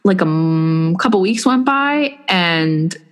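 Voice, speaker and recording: Rambling young woman, lower voice. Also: animated, slow. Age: young adult. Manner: rambling, many fillers and restarts. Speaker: blogger recounting personal experience. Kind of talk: casual chat.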